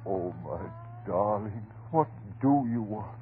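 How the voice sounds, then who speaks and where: Frantic husband, wildly swinging voice. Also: male voice, lowish voice, lively intonation. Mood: coaxing. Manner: melodramatic, pleading, halting. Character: frantic, devoted, unhinged husband guarding his transformed beloved. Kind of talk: vintage horror radio drama acting. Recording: muffled, noisy old radio recording.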